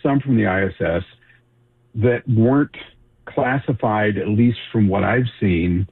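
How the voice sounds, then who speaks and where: A seventy-year-old man explains things casually as an expert radio guest. His voice is fairly low and raspy, heard through a narrow, phone-like connection. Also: unhurried. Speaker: expert guest, journalist and author. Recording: thin phone-like remote connection.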